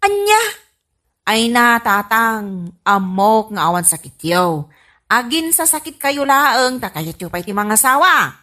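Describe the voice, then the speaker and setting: A woman's voice: on the high side, very animated, measured pace, bright, forceful tone, heavily acted. A woman playing an exasperated, scolding Ilocano daughter in a radio comedy drama. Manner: exclaiming, scolding. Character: exasperated, dramatic grown daughter of a stubborn old man.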